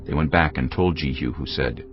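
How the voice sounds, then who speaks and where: A man's voice: a bass voice, normal speed. A man narrating a dramatised audio Bible as a scripted, dramatic reading. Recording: dull lo-fi audio, music bed.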